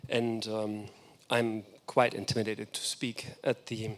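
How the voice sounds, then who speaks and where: A man's voice: on the low side, level tone, slow. Enthusiastic German-native man lecturing. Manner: enthusiastic, reflective storytelling. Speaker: guest lecturer. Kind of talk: architecture lecture.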